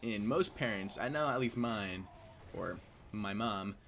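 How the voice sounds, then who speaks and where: A man's voice: fairly low pitch, animated, a little slow, fairly bright tone, a little hiss or hum. A young man tells personal stories in a casual, crude, joking way, amused by his own jokes as a video host. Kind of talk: casual comedic personal storytelling.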